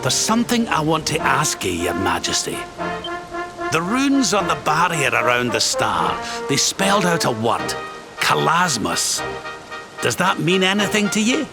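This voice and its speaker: An actor playing a practical, wary adventurer in a video game, blunt and colloquial. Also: male voice, fairly high pitch, big pitch swings, measured pace, gravelly, heavily acted. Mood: wary, curious. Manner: colloquial, blunt, matter-of-fact. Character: plain-spoken, practical, wary male adventurer. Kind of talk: video game character acting. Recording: loud game music behind voice.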